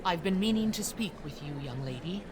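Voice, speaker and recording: A woman's voice: on the low side, expressive, a little fast, crowd noise, natural voice. A woman playing a kindly, protective middle-aged housekeeper in an audio drama, motherly and earnest. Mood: knowing. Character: kindly older housekeeper, a protective former matron. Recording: clearly noisy background ambience.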